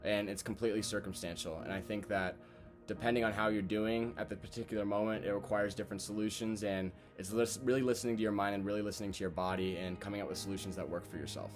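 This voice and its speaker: A man's voice: on the low side, fairly even intonation, fast talker. A man of twenty, a university student, speaking earnestly and thoughtfully about his own experience. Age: 20s. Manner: earnest, thoughtful, uses fillers. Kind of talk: reflective testimonial. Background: music.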